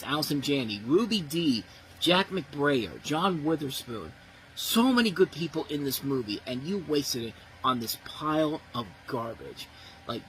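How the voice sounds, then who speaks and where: A man's voice: mid-range voice, fairly bright tone, slight background noise, poor audio. Exasperated man ranting about a movie. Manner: blunt, profane, exasperated. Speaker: film reviewer.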